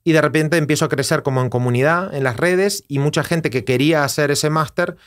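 A man in his thirties with an Argentine Spanish accent, a podcast guest telling his story in a casual, animated way. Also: fairly high pitch, a little fast. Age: 30s. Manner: animated storyteller, gestures while talking, acts out quoted dialogue. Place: small studio with acoustic panels. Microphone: dynamic mic on desk stand, headphones.

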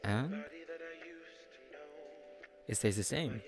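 A man voicing an obsessive, falsely sweet young male friend in an intimate audio roleplay, soft and teasing, often breathy. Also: on the low side, big pitch swings, over music, full character voice. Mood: friendly, teasing. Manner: slow, chuckling, teasing, coaxing.

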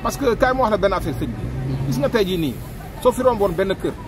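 A middle-aged man with a Senegalese accent giving his opinions in a street interview, animated and talking with his hands, recorded close on a handheld mic outdoors by a busy road. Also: high-pitched, a little fast, music in the background, a crowd in the background. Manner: animated, gestures with hands, mixes two languages. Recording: street traffic noise behind.

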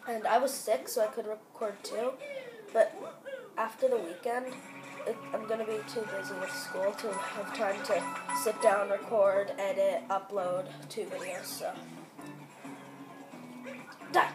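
A woman giving excitable let's-play commentary on a video game while sick and losing her voice. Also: mid-range voice, unhurried, pauses often, over loud music, poor audio. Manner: excitable, exclaims often. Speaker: gameplay commentator.

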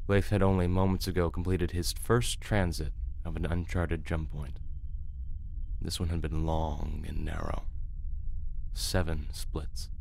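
A man reading a science fiction audiobook as narrator, with measured, deliberate, theatrical delivery. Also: deep voice, darkish tone, a little hiss or hum. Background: music.

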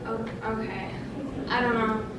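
A nervous, chatty schoolgirl acted by a young woman rambles eagerly in a high voice. The audition scene is recorded from far off in a very echoey, noisy room. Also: fairly even intonation, measured pace, natural voice. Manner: rambling, hesitant.

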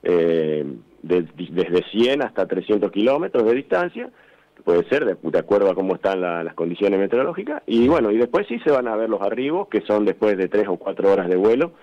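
Low-pitched Argentine man on a dull phone line. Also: big pitch swings, fairly quick. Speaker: gliding club representative, phone-in guest. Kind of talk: radio interview by phone. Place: phone call to a radio studio. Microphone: telephone.

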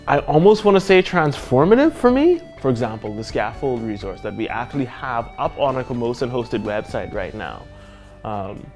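A young man speaks with animated emphasis and occasional uhs. His voice sits in a mid range. He is on a lapel mic in a studio, with faint music underneath. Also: medium pitch. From Bahamas. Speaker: programmer. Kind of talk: testimonial interview. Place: studio with dark backdrop.

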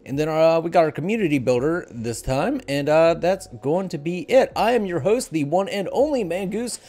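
A man's voice: a little high, expressive, normal speed, darkish tone. A middle-aged man hosting a casual gaming podcast in an informal, jokey way. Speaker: podcast host. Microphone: headset.

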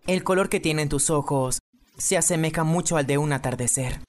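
A man with a Latin American accent voicing a gentle, sincere teenage boy painter in an anime fan dub. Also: a little high, fairly quick, natural voice. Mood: gentle, wistful.

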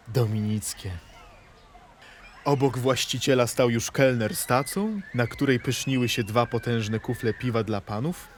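A man playing a wistful poet reciting memories and verse slowly and dramatically in a literary radio play. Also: medium pitch, a little hiss or hum, lightly acted. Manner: slow, dramatic, dropping at times to hushed tones.

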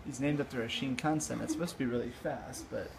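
A man's voice: mid-range voice, quick pace, creaky, crowd noise. A young man vlogging from an indoor cafe on a phone held in his hand, giggling and cooing to the animals around him. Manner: giggly, talks baby-talk to cats. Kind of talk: casual vlog. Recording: phone audio with room noise.

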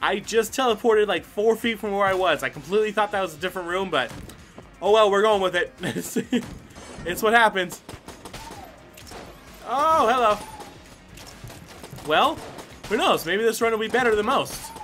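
A man giving casual, excited gameplay commentary as host, exclaiming and swearing as he talks to himself and the game. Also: sits high, normal speed, long pauses. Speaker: gaming video host. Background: game music.

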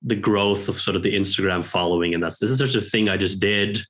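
Guest, male, 30s, lowish voice; halting and thoughtful, video call from home. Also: a bit bright. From Norway. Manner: hesitant, thoughtful, many pauses and restarts. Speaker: guest, professor. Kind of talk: reflective interview talk. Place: home room with bookshelves. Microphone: headphones on a video call.

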